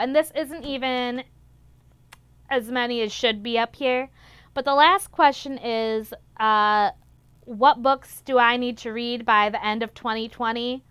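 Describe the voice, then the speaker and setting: A woman's voice: high voice, a bit bright, clean tone, no room sound, muffled recording. A young woman chatting casually and enthusiastically as a vlog host, gushing and repeating words for emphasis. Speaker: book reviewer, vlog host. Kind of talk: casual book vlog chat.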